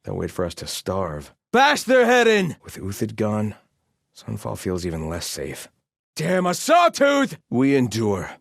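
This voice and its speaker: An actor playing a zealous, grumbling soldier loyal to a fallen king, delivering video game lines with pompous devotion and gruff scorn. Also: fairly low pitch, huge pitch swings, measured pace, no echo, clean studio sound, full character voice. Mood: shouted alarm. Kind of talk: video game voice lines, battle barks and muttered remarks.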